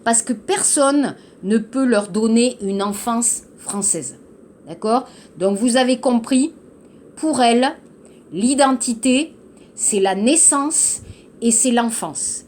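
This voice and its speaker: A woman teaching to learners, explaining a text slowly and patiently, repeating key phrases and checking understanding. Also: a little high, darkish tone, a little hiss or hum. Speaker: French teacher. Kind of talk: slow classroom explanation to learners.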